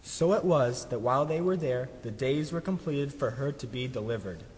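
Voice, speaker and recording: A man playing a curious, excitable schoolboy in a children's puppet show, with a husky voice and earnest manner. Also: medium pitch, normal speed, in a large hall. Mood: reciting.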